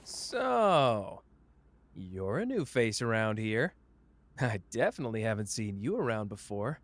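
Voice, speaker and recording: A man's voice: on the low side, big pitch swings, a little slow. A man playing a cocky, flamboyant young sorcerer who teases the listener in a playful, smug roleplay audio drama. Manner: playful, smug, drawling teasing.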